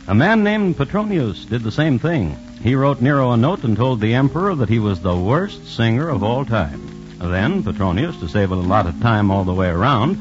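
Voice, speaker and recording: A man narrating a scripted old-time radio drama in a wry, deadpan manner. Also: on the low side. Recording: dull old radio recording, music behind.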